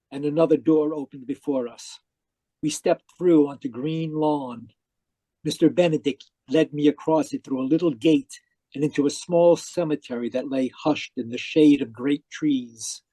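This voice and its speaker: A man acting a tormented dead man who narrates his afterlife in old-time radio mystery style, halting and pleading. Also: fairly high pitch, fairly even intonation, warm tone, slightly rough, dry recording. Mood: haunted, weary. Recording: live video call performance.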